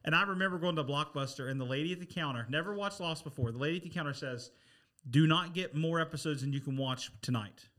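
A man's voice: on the high side, quick pace, a bit bright. A man in casual group conversation, telling anecdotes enthusiastically as a podcast panelist.

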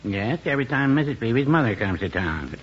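A man's voice: mid-range voice, sing-song, brisk, close to an everyday voice. An actor in a 1950s radio comedy playing a mild, fussy older man with a droll, quibbling way of talking. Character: dry, mild, fussy older male small-town friend. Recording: muffled 1950s radio recording with hiss.

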